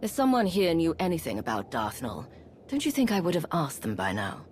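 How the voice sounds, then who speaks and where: A woman's voice: deep voice, wildly swooping pitch, a little fast, darkish tone, slight background noise. An actress voicing a confident, wry female commander in a space saga video game, cool and pointed in manner. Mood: skeptical, curt. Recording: game dialogue over faint music.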